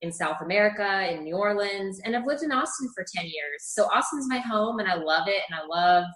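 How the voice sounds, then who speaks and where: A woman's voice: medium pitch, expressive, brisk, fairly bright tone. Friendly woman guest from Scotland, upbeat chat, roomy sound. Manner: friendly, upbeat, laughs easily. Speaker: guest, personal trainer. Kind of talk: casual interview chat.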